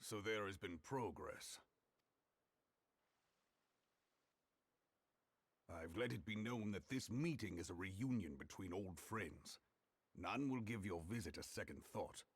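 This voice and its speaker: Gruff, low-voiced male warrior general. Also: animated, moderate pace, no echo. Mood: serious. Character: proud, gruff middle-aged warrior general, humble about himself. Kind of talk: video game voice acting.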